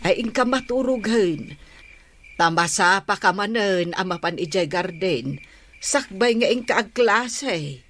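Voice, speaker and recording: A woman's voice: medium pitch, lively intonation, unhurried, fairly bright tone. An actress with an Ilocano Filipino accent playing a caring, patient middle-aged mother giving counsel in a radio drama. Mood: gentle, advising. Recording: faintly noisy track.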